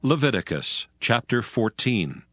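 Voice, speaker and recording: A man's voice: medium pitch, very animated, measured pace, a bit bright, no echo. A man narrating an audio Bible in a solemn manner. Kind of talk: chapter announcement and scripture narration.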